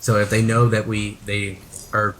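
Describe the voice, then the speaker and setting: A man's voice: on the low side, little pitch movement, normal speed, a little hiss or hum. A man chatting casually as a player in a tabletop game, a bit hesitant as he thinks aloud.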